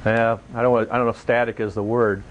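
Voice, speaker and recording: A man speaking as an interview guest, in a conversational, somewhat halting way. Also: mid-range voice, flat delivery. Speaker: volunteer caving project leader, interview guest.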